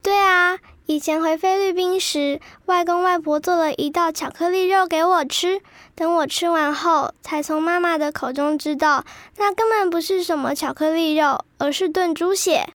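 A woman's voice: very high voice, normal speed, a bit bright, no echo. A woman playing a chatty, cheerful schoolgirl in an educational radio drama for children. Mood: lively, sharing. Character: chatty cheerful Filipino-Taiwanese schoolgirl, proud of Philippine food.

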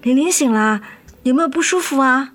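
Casting: caring mother, played by a woman, fairly high voice, soothing and affectionate, radio play over soft music. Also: expressive, brisk. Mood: concerned. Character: gentle, weary, loving middle-aged mother. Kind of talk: radio drama acting. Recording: music under the voice.

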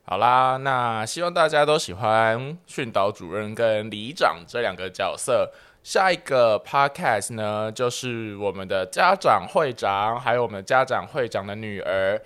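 Male podcast host, bright tone, casual sign-off style. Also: fairly low pitch, lively intonation, unhurried, hardly any pauses, clear, resonant tone. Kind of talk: casual show outro.

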